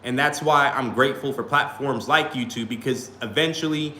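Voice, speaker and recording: Male streamer, mid-pitched, rambling casual delivery, echoey room with faint hum. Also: moderate pace, pushed and bright. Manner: rambling, repeats "you feel me". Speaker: small YouTuber live-streaming. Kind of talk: casual livestream talk to chat. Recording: roomy echo, faint background hum.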